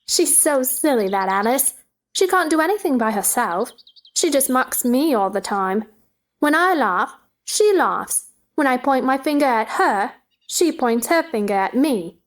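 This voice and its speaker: Woman playing an eager, high-voiced little girl. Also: lively intonation, measured pace. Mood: chatty, playful. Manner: eager, childlike, inquisitive. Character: chatty, curious, imaginative little girl. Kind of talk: storybook play reading.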